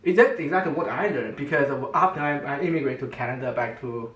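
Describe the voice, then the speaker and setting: A middle-aged man whose first language is Chinese, chatting casually and haltingly, with many restarts and fillers. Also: mid-range voice, a bit bright, roomy sound, distant mic. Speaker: co-host, father. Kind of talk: casual chat.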